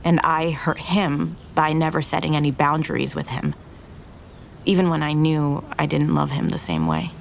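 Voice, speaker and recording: A young woman in an audio drama, earnest and full of regret. Her voice sits low with a creaky edge, and she opens up haltingly, as if confessing. There is faint noise behind her. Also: animated. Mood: wistful, regretful. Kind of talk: naturalistic audio drama acting.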